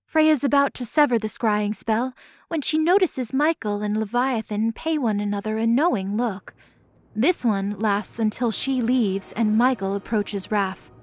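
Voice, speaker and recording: Higher-pitched woman narrating a fantasy story. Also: unhurried, no room sound, clean studio sound. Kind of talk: scripted story narration, present tense.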